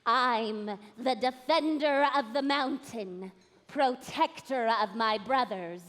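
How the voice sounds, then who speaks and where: Brief: woman as a blustering goat guardian in a stage play, high and drawn-out, long hall echo. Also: a bit bright. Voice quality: gruff, blustering. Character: gruff boastful mountain goat guardian, male. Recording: stage voice in a reverberant hall with music.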